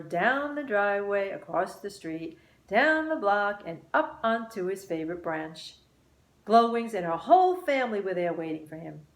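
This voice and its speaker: A woman narrating a children's story aloud in an expressive storytelling manner. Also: lowish voice, roomy sound.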